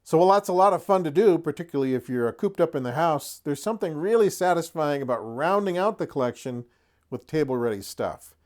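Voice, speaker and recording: A man's voice: on the high side, animated, fast, darkish tone. A middle-aged man hosting a hobby channel in a casual, folksy way with wry asides. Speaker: host of a tabletop wargaming channel. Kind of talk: casual product showcase to camera.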